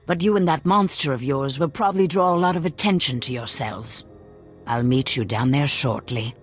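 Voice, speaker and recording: An actress voicing a wary, stubborn, lovelorn middle-aged woman in a dramatic video game scene, with a husky voice. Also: rumbling low, moderate pace, faint music behind. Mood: wary, hesitant.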